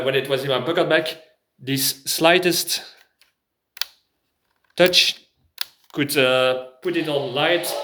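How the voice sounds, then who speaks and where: A man's voice: mid-range voice, a bit bright. An English-speaking man gives a casual product review, hesitantly searching for words. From Belgium. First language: not English. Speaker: prepper video host. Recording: echoey room.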